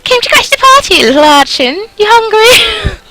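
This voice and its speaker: A woman's voice: extremely high pitch, very animated, normal speed, pushed and bright, pitched above the performer's own voice, heavily acted, low-quality recording. A woman voicing a haughty, mocking young noblewoman in a visual novel, theatrical and condescending. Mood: condescending cooing. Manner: theatrical, condescending, gushing cruelty.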